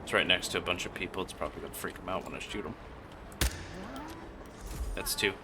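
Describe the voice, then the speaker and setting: A man's voice: low voice, fairly even intonation, brisk, very noisy. A man streaming a video game with casual, slangy, irreverent commentary. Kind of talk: casual live game commentary, crude joking. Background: game music and sounds.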